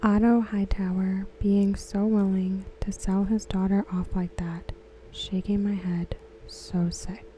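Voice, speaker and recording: A woman host reading aloud in a soft, breathy near-whisper for ASMR, gentle, unhurried and soothing, close to the microphone. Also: mid-range voice, little pitch movement, slight background noise, rough recording.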